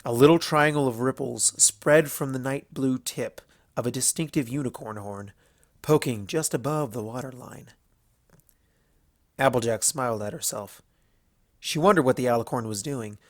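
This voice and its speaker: A man reading scripted fan fiction as narrator, telling the story in an expressive way. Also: medium pitch, moderate pace, no room sound, clean studio sound.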